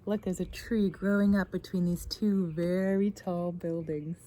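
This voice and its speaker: Unhurried woman reading to children. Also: lowish voice. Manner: expressive, stressing key words, with asides and questions to young listeners. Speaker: teacher reading a picture book aloud. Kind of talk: children's read-aloud with commentary on the pictures. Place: outdoors in a garden.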